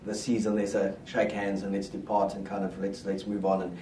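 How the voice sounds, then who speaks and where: A middle-aged rugby pundit talking in a small studio, confident and steady as he lays out his predictions. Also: low voice, fairly quick, distant mic, slight background noise. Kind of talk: casual rugby chat. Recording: roomy studio sound, mic set back.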